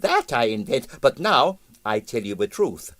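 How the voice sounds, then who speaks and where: A man voicing an excitable, boastful Russian ballet impresario, theatrical in broken English with bits of French. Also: mid-range voice, unhurried, no room sound. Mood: confiding, cheerful.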